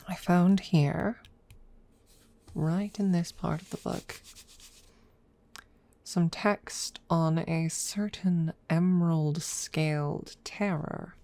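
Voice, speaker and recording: A woman voicing a proud, possessive female dragon who teases a rival in a haughty, deliberate manner, in an intimate ASMR audio roleplay. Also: fairly low pitch, darkish tone. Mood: sly intrigue. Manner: haughty, teasing, deliberate with pauses.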